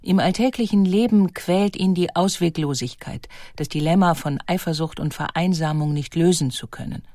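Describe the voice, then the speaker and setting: A woman reading scripted documentary narration in a measured, articulate way. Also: fairly low pitch, mellow, no echo, studio quality.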